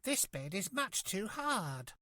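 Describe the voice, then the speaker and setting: A man's voice: high-pitched, big pitch swings, slow pace, bright, forceful tone, no echo, clean studio sound, pitched above the performer's own voice, exaggerated voice. A man voicing a polite, earnest little girl in a fairy tale, exclaiming and hesitating childishly. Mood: displeased. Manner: exclamatory, hesitant when explaining.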